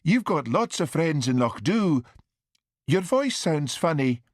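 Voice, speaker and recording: A man voicing a busy Highland Scottish mother in an audiobook, plaintive and coaxing, with brisk turns of speech. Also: expressive, no room sound, studio quality. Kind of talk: audiobook character dialogue.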